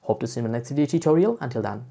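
A woman presenting a software tutorial as a step-by-step voice-over. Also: extremely low pitch, expressive, rapid-fire, creaky, husky. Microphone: voice-over for screen recording.

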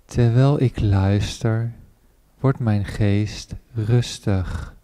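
Extremely slow, mellow man guiding meditation. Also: on the low side, fairly even intonation. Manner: unhurried, measured phrases with pauses. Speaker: meditation teacher, Buddhist monk. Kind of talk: guided meditation.

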